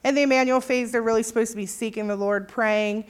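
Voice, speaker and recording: A woman on the staff of a recovery program, giving a relaxed, informal talk to a visiting group with many filler ums. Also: on the high side, fast, clean tone.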